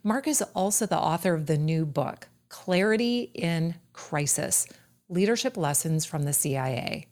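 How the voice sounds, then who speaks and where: Brief: low-pitched female host, deliberate and emphatic, introduces guests and asks questions. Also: animated, darkish tone. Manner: measured, emphatic delivery with deliberate pauses. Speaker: podcast host. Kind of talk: scripted intro then interview questions.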